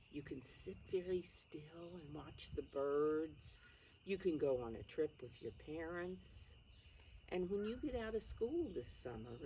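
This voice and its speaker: An older woman reading aloud to young children, pausing to explain things in simple words. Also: low voice, dark tone. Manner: addresses children simply, calls self by name in third person. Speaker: preschool storytime reader. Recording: distant mic, faint background noise.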